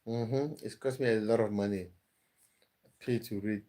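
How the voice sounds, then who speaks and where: A man's voice: on the low side, fairly even intonation, unhurried, mellow. A man hosting a casual live stream, reading viewers' comments aloud and answering them with easy laughter.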